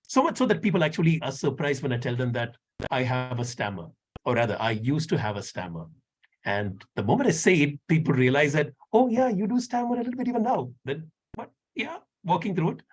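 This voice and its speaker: Man, husky voice, lively pitch, slight stammer, candid and wry. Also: low-quality recording. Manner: candid, lightly humorous. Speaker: impromptu speaker. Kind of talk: impromptu speech.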